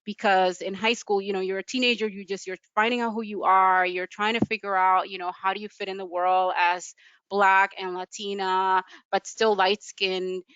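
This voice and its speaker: Smooth-voiced reflective woman on a call. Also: mid-range voice, little pitch movement, moderate pace, a bit bright, dry recording. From United States. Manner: earnest, reflective, loose with fillers. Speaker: panelist. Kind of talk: personal account on a panel. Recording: dull lo-fi video call audio.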